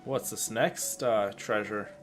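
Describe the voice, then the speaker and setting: Man, game streamer, lowish voice, casual halting commentary, informal, clear music behind.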